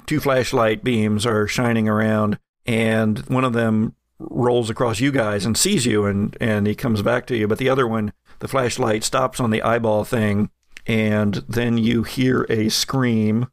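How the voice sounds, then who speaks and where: A man narrating a tabletop game as game master, relaxed and chuckling, with many fillers. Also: fairly low pitch, a little slow, no room sound.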